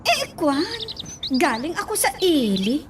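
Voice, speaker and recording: Flustered, very high-pitched sweetheart. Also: female voice, wildly swooping pitch, slow pace, background noise, heavily acted. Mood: flustered, coaxing. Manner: hesitant, exclaiming. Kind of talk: Ilocano radio drama acting. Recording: distant mic, music behind.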